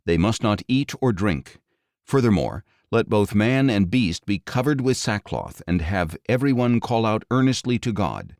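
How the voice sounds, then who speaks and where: A man's voice: fairly low pitch, sing-song, unhurried, darkish tone, no room sound, studio quality. A man voicing a stern ancient king, formal and authoritative, issuing a decree in a scripture audiobook. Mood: stern, earnest. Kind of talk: dramatic audiobook scripture reading.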